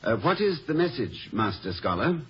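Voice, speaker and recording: A mild, dignified college president in a vintage radio comedy. He is formal, courteous and gently bemused, with very varied intonation in a medium-pitched voice. The old broadcast sounds muffled. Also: big pitch swings, normal speed, slightly rough. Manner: polite, formal, slightly hesitant. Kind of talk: 1950 American radio comedy acting.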